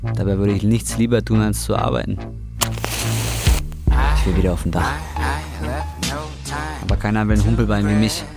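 An actor playing a broke, bitter twenty-five-year-old roofer in a German radio drama, weary, slangy and plain-spoken. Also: male voice, lowish voice, steady tone, moderate pace, creaky, pauses often. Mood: weary despair. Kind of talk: German radio drama acting, dialogue and inner monologue. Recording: music under the voice. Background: music.

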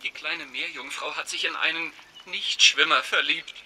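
A man's voice: on the high side, fairly even intonation, moderate pace, bright, forceful tone, slight background noise. A man playing a fairy-tale author who speaks politely and urgently over a telephone in a children's radio play. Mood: anxious, polite. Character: famous fairy-tale author phoning anxiously for help.

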